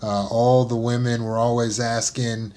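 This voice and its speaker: A man gives an unscripted motivational talk in a voice of ordinary middle pitch. He speaks earnestly and often repeats words as he searches for the next thought. He slows down to lean on key phrases, then speeds up through lists. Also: little pitch movement, a little mellow.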